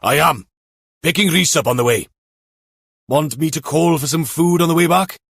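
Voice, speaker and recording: A helpful male police officer voiced by an audiobook narrator, pitched up from the narration. He sounds practical, persistent and conversational in a dry recording. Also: moderate pace, a bit bright, no echo. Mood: practical, friendly.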